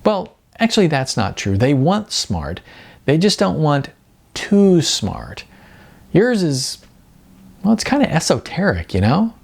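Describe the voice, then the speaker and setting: A narrator voicing a blunt, bubbly young aspiring actress who talks in casual, animated bursts and likes to tease. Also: medium pitch, a little slow, vocal fry, breathy edge, pitched above the performer's own voice, full character voice. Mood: hedging, tactful.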